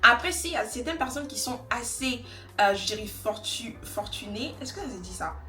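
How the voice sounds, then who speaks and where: A woman's voice: on the high side, lively intonation, moderate pace, bright, forceful tone, reverberant. A young woman from Côte d'Ivoire chatting casually while doing her makeup, rambling and laughing often. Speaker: beauty vlogger. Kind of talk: casual get-ready-with-me chat.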